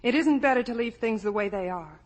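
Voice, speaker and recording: A woman's voice: fairly high pitch, expressive, a little fast. A woman acting in a 1950 radio drama as a determined young woman, polite, earnest and pleading. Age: young adult. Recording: echoey old radio recording.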